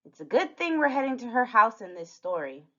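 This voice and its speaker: A woman reading a children's storybook aloud in animated narration, on a slightly dull recording. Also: on the high side, fairly quick. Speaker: storybook reader.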